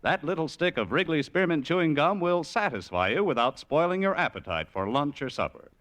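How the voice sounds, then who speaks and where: A man's voice: a little high, animated, fairly quick, fairly bright tone. Polished vintage radio announcer man. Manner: smooth, polished, emphatic delivery. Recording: vintage radio broadcast with music.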